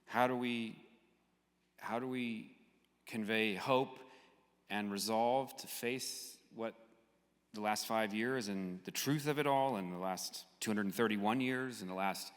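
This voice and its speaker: A man hosting a live audience discussion, speaking off the cuff in a searching, halting way. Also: fairly low pitch, clear, resonant tone. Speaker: host moderating an audience discussion. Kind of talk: spontaneous discussion moderating. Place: large hall at a live public event. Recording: echoey hall with long reverb.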